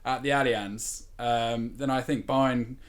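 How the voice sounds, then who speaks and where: A man's voice: mid-range voice, fairly even intonation, takes it slowly. A man on a football podcast panel, chatting casually about matches from his bedroom. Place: at home in a bedroom, on a remote call.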